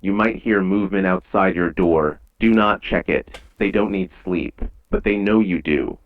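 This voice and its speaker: A man playing a grave, measured emergency announcer in an eerie analog horror broadcast, with dull, lo-fi old radio sound. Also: deep voice. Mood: calm, foreboding. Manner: measured, solemn, ominous.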